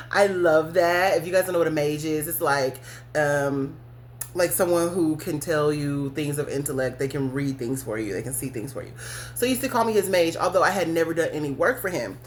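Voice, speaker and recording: Podcast host, woman, low register, frank storytelling with frequent laughs and swearing, faint background hum. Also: moderate pace. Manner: candid and blunt, cursing, laughing often mid-story. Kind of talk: casual storytelling to listeners.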